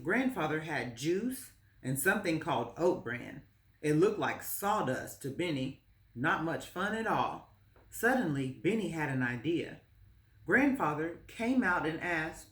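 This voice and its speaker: A woman reading a children's story aloud as narrator, in a measured, expressive storytelling manner. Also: low-pitched. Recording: roomy, echoing space.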